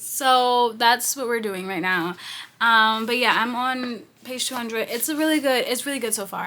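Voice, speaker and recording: A woman's voice: a little high, bright, forceful tone, a little hiss or hum. A young woman in her twenties from New York, chatting casually and rambling through a reading vlog at home, sniffly and congested, recorded on her phone. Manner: chatty, slangy, rambling asides. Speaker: book vlogger. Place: at home, indoors. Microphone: phone camera.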